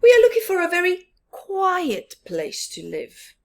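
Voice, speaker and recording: A woman's voice: very high voice, huge pitch swings, measured pace, pitched above the performer's own voice, heavily acted. A woman voicing an eager, fussy, plaintive young fox in a children's storybook, with emphatic exclamations. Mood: eager, pleading.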